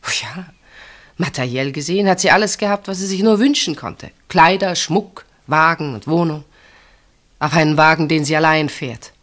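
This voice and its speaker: A woman's voice: deep voice, normal speed, fairly bright tone. An actress playing a guarded sister-in-law in a German radio play, defending her sister. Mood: quietly reproachful.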